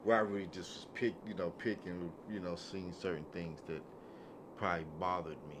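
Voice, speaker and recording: A man's voice: sits low, steady tone, a little fast. A man chatting casually and hesitantly as a podcast panelist. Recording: quiet, clearly noisy background.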